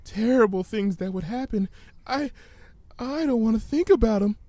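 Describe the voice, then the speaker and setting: A man as a high-pitched timid girl pony. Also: mellow, no echo, close to an everyday voice. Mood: timid, whimpering. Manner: halting, whimpering, anxious. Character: timid, panicky young female pegasus pony. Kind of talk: dramatic storybook reading.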